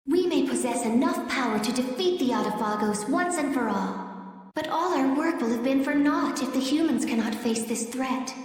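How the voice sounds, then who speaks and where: High, echoing, cautious wind spirit. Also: female voice, animated, a little mellow. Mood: solemn. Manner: measured, solemn, formal phrasing. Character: cautious, worrying female wind spirit, stately. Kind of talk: scripted fantasy game acting. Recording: echoey cavernous hall reverb.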